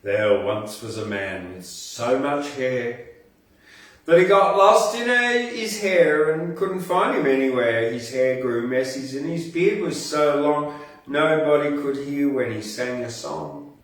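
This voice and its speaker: A man hosting a poetry reading, reciting verse and making offhand remarks in a halting, deliberate manner. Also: fairly high pitch. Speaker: poetry podcast host and reader. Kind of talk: poetry recitation with casual asides between poems. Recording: echoey room, distant from the mic.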